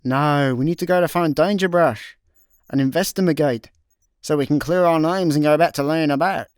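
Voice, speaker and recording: An actor playing a dim, laid-back intergalactic slacker on the run in a comedic sci-fi radio play, deadpan, with a Scottish accent. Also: male voice, on the high side, moderate pace, clear, resonant tone, dry recording, clean studio sound, lightly acted. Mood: bemused.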